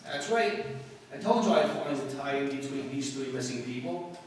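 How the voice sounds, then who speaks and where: A man's voice: mid-range voice, lively intonation, normal speed, natural voice. Guy doing wisecracking boy reporter from an old radio show, casual, far off mic, roomy echo. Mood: jaunty, wry. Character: breezy, wisecracking male newspaper reporter. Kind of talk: 1940s radio drama acting. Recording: echoey hall, distant mic, faint noise.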